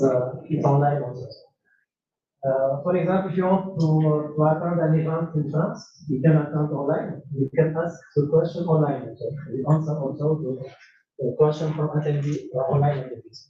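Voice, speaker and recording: A man's voice: on the high side, fairly even intonation, normal speed, warm tone, recorded from a distance. Echoey man presenting in a hall. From Tunisia. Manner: hesitant, many fillers and restarts, non-native phrasing. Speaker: conference speaker. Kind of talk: technical conference presentation. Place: conference room, large hall. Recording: echoey room mic from afar, dull.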